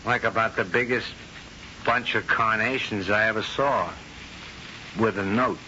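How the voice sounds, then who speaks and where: An actor playing a star's steady, devoted companion, casual and reassuring, in a 1970s radio drama. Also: male voice, lowish voice, measured pace, a little hiss or hum. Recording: dull 1970s radio recording, music behind.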